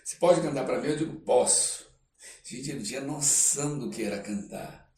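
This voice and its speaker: A Brazilian man from the Amazon telling anecdotes casually as an interview guest, acting out dialogue and often tagging phrases with "né". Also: medium pitch. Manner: animated, acts out dialogue, halting with "né" tags. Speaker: guest artist, actor telling life story. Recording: roomy echo, distant mic, faint noise.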